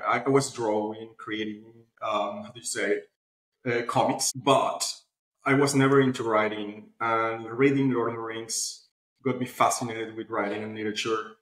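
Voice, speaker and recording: A man's voice: medium pitch, steady tone, normal speed, fairly bright tone. A man speaking as an interview guest, casually telling his story in loose, conversational phrasing, recorded with roomy echo. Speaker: interview guest, platform founder. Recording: roomy, echoing voice pickup.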